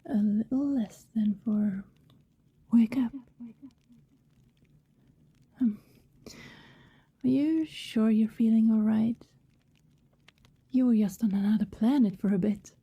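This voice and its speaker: A woman playing a coy, affectionate vampire neighbor in an intimate ASMR roleplay, speaking gently and hesitantly, often dropping to a whisper. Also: on the high side, takes it slowly, pauses often, husky, highs cut off, heavily acted. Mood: hushed, coaxing.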